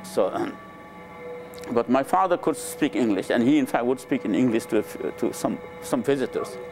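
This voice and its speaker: An older man from Nepal, a native Nepali speaker, recounting his life in a relaxed, hesitant way with many fillers, on a lapel mic in a lecture room. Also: mid-range voice, normal speed, slight background noise, poor audio. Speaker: interviewed scientist. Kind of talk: relaxed conversational storytelling. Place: lecture room with a small audience.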